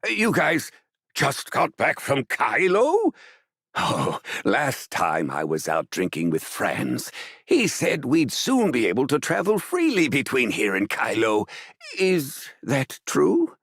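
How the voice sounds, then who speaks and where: A man playing a brash, teasing, world-weary funeral agency boss in an animated game, with a gravelly voice and a theatrical manner. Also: medium pitch, takes it slowly, no echo, clean studio sound, full character voice. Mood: surprised, courteous.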